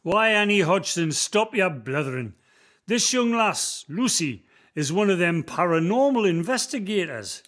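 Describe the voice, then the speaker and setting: A man acting a weary old stone cutter in a radio play, with a Northumbrian accent and a gruff, plain-spoken way of talking. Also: sits high, sing-song, a little slow, fairly bright tone, heavily acted. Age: older.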